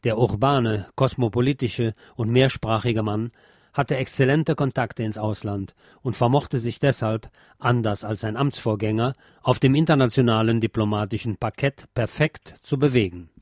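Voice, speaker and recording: A man's voice: mid-range voice, moderate pace, a little mellow, no room sound, studio quality. A man reading a history book aloud as scripted narration for a podcast.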